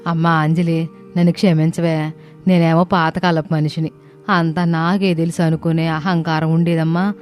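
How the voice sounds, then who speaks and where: A woman's voice: sits low, level tone, moderate pace, a little mellow, soft background music, lightly acted. Woman playing a humbled elderly mother-in-law. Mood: remorseful.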